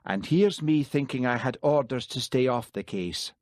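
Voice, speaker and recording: A man as a sly, easygoing constable, lively pitch. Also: moderate pace, dry recording, clean studio sound, natural voice. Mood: slyly innocent. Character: easygoing, sly Scottish village constable, tall young-ish man.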